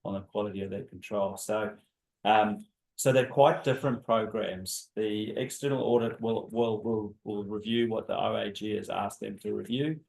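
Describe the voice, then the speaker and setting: A man on council staff explaining audit findings at a council meeting, measured and repeating words as he goes. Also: on the low side, steady tone, vocal fry, a little mellow, low-quality recording.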